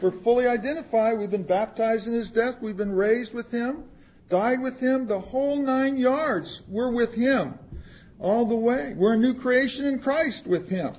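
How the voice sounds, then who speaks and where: A man's voice: very high voice, a little slow, a little mellow, lo-fi sound. A man preaching a sermon, reading scripture aloud and explaining it in plain words, with asides to the congregation. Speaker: pastor.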